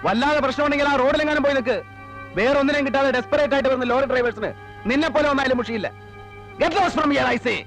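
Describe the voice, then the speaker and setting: A man's voice: extremely high pitch, fast talker, music in the background. An actor playing an arrogant, cruel, domineering man in a film drama, scornful, with an Indian Malayali accent. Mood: furious, insulting. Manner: scornful, mixes Malayalam with English outbursts. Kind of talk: heated film drama dialogue.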